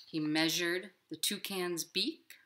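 A woman hosting storytime, speaking in simple, clear phrases to young children. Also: fairly low pitch, fairly even intonation, slow, sharp tone. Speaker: children's library storytime host. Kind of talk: storytime for young children, talking and reading aloud. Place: living room at home, indoors.

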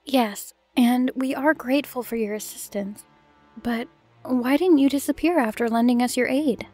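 Woman playing a high-pitched, worried elven messenger. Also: moderate pace, warm tone, faint music behind. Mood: curious, polite. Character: anxious, skeptical part-elf woman messenger. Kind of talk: fantasy audio drama acting.

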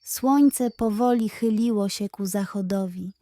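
Woman reading a kids bedtime story, very unhurried, dry close sound, expressive. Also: mid-range voice, no room sound. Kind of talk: scripted children's bedtime story narration.

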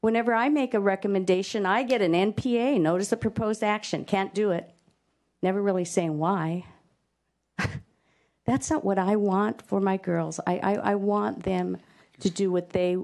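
A woman's voice: mid-range voice, normal speed, darkish tone. A woman, a parent, making an impassioned public comment, heartfelt with stumbling restarts. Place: state hearing room.

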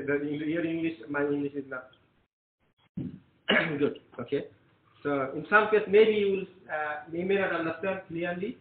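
A man whose first language is Bengali, lecturing a class in an earnest, encouraging way and often repeating himself. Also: high voice. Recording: echoey room, tinny phone-like sound.

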